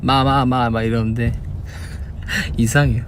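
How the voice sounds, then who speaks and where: Young man, Busan accent, easygoing livestream chat, soft laughs, mid-pitched voice, car noise. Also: takes it slowly. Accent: Busan Gyeongsang Korean. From Busan, South Korea. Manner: relaxed and chatty, laughs softly, demonstrates dialect phrases. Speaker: idol livestream host. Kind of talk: casual livestream chat answering fan comments. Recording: steady car noise behind.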